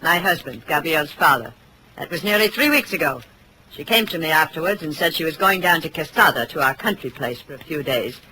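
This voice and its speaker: A woman's voice: low voice, lively intonation, a little fast. An actress playing a cold, haughty, rich widowed stepmother in 1940s radio drama style, imperious, clipped and formal. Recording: vintage radio recording, faint noise.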